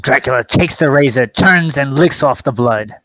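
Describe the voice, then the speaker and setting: A man's voice: medium pitch, expressive, moderate pace, a bit bright, no room sound, poor audio. Man narrating stage directions, dry close sound.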